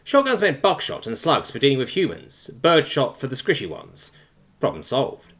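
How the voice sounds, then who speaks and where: A man reading a science fiction story aloud as a scripted, expressive audiobook narration. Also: mid-range voice, normal speed.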